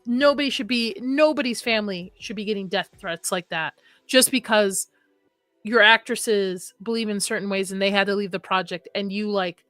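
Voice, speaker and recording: Chatty bright-voiced woman streaming live. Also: medium pitch, normal speed, dry recording. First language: Arabic. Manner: chatty, rambling, laughs often. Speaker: horror livestream host. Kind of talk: casual livestream chat reading comments.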